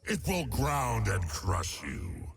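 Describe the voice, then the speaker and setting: A man voicing a fierce warrior of the frozen north in a video game, with a gravelly, raspy delivery. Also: fairly low pitch, wildly swooping pitch, slow pace, over loud music, exaggerated voice. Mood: menacing.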